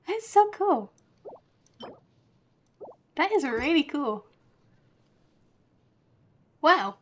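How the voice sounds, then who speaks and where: A woman game streamer giving casual, chatty, giggly commentary as she plays. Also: fairly high pitch. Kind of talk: casual live gameplay commentary. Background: game music.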